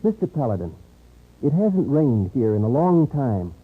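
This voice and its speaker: A man's voice: medium pitch, very animated, slightly rough. A man playing an earnest, loyal young frontier man who speaks formally in a radio western. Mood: earnest, determined. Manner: formal, measured, few contractions. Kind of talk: 1950s radio western acting. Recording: tinny old radio recording.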